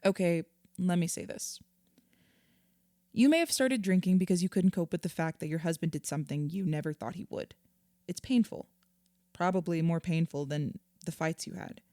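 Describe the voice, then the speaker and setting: Audio drama: actress as earnest female therapist, mellow tone, measured and reassuring, very dry studio-close sound. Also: lowish voice, dry recording, lightly acted. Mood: empathetic, explanatory. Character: patient, earnest female therapist counselling a client. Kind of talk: naturalistic audio drama acting.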